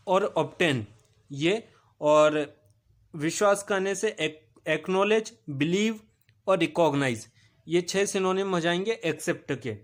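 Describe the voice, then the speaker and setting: A male teacher, giving a recorded vocabulary lesson. He repeats each meaning as a question, answers it himself, and pauses on "uh". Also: high-pitched. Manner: steady explaining pace with filler "अ" pauses.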